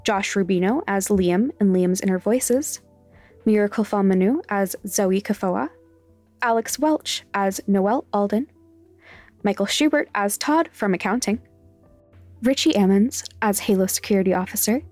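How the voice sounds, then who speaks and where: A woman narrates scripted podcast credits at a medium pitch. The recording is close and dry, with soft music underneath. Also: normal speed, no room sound. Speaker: podcast creator reading end credits. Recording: dry studio voice over music. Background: faint music.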